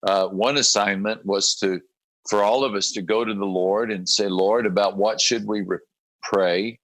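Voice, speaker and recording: A man, a pastor, with a raspy voice after a late night, talking in halting conversation, often repeating words and clearing his throat. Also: fairly low pitch, a bit bright, no echo, low-quality recording. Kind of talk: conversational interview about a prayer group.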